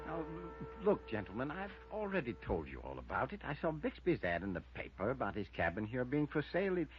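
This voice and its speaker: An actor playing an anxious henpecked husband in a 1950s radio drama, stammering, fussy and pleading, with a husky voice. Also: male voice, mid-range voice, wildly swooping pitch, brisk, over music. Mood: nervous, insistent. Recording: muffled vintage radio broadcast.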